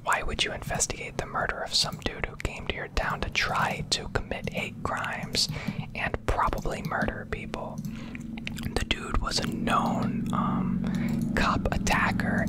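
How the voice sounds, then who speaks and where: Asmr gamer lady whispering, breathy and rambly, just chatting away casually. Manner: rambling, conversational, swears casually. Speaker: video host.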